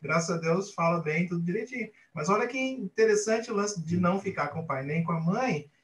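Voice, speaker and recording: A man telling an anecdote in a live video-call panel, animated and chuckling, with stumbling restarts. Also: sits high, a little fast, roomy sound, poor audio. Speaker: high school teacher on a live panel.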